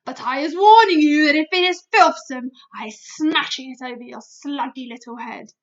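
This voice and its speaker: A woman's voice: high voice, moderate pace, dull audio. A woman voicing a huge bloodthirsty male giant who bellows threats, in a storybook reading. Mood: bellowing.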